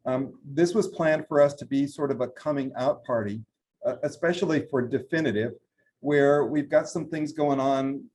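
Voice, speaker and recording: A man, a brand president, giving a trade interview and running through product lines with steady talk and frequent fillers. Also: mid-range voice, a little hiss or hum. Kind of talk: business interview, product rundown.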